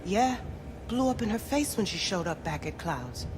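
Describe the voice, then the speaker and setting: An actress playing a wistful, rueful club worker in a video game, speaking casually and confidingly. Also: female voice, medium pitch, big pitch swings, normal speed, noisy background, natural voice. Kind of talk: video game cutscene acting.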